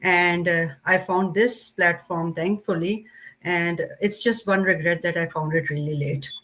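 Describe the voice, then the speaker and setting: A woman's voice: lowish voice, fairly even intonation, unhurried, fairly bright tone, slight background noise. A middle-aged woman speaking candidly and a little hesitantly as a guest on a video call. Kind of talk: casual video call interview.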